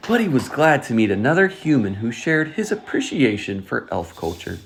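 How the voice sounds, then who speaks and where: A storyteller reading a children's picture book aloud in an expressive, scripted way. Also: medium pitch, slight background noise. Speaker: storytime reader.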